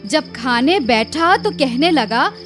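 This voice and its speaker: A proud young village wife in a radio drama. Her voice is very high and she speaks slowly, with a plaintive, indignant edge, over a music bed. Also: animated, fairly bright tone, full character voice. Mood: indignant complaint. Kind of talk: Hindi radio drama acting.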